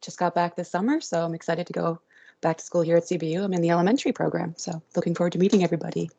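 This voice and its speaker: A woman in her thirties introduces herself as a returning student on a video call, in a relaxed way. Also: fairly low pitch, rapid-fire, creaky. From Nova Scotia.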